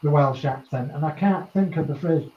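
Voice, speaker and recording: A man's voice: on the high side, moderate pace, roomy sound, highs cut off. A man from East Yorkshire with a Yorkshire accent, hosting a casual group chat and rambling, with small chuckles between thoughts. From East Yorkshire, England. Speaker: host of a model-building chat.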